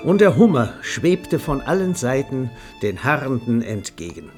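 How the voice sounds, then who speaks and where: Wry, lively radio-play narrator, mid-pitched, literary storytelling with music behind. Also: male voice, measured pace. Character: wry storyteller of a boozy party.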